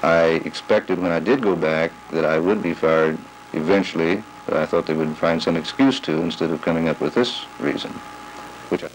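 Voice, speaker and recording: A man's voice: low voice, moderate pace, rough recording. A man giving an unscripted interview statement for television news, recorded on old videotape. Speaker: fired sheriff's officer being interviewed. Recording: faint noise, old 1970s videotape.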